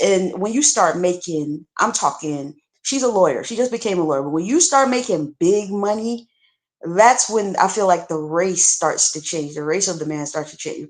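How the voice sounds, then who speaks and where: A woman speaking bluntly and frankly as a panelist in a casual livestream discussion, often restarting her sentences. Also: fairly low pitch. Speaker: podcast panelist.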